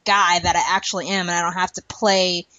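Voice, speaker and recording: Female podcast host, forceful and sharp, opinionated chat style, recorded very dry with slightly dull audio. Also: fairly low pitch, flat delivery, a little fast, no room sound. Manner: emphatic, exasperated rhetorical questioning.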